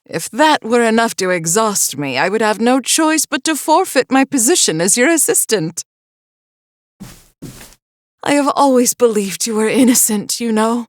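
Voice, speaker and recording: A woman voicing a loyal, skeptical scholar's assistant in a video game, with formal, deliberate and theatrical speech. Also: fairly high pitch, sing-song, no room sound, studio quality. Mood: delighted, admiring. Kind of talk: dramatic video game voice acting.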